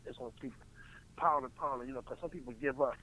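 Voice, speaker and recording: A man's voice: mid-range voice, steady tone, crowd noise, rough recording. A man co-hosting a casual sports talk show, agreeing readily and repeating his points. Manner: quick, agreeable, repeats himself.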